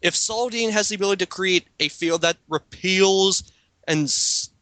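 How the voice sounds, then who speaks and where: Bright-voiced man reading aloud. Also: sits high, moderate pace, dry recording. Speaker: host reading a character bio aloud. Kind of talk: dramatic reading with casual commentary.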